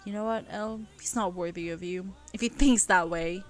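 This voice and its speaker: A woman's voice: medium pitch, moderate pace, faint music behind, close to an everyday voice. A woman voicing a protective, caring young friend who talks in a soothing, reassuring way. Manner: soothing, then indignant.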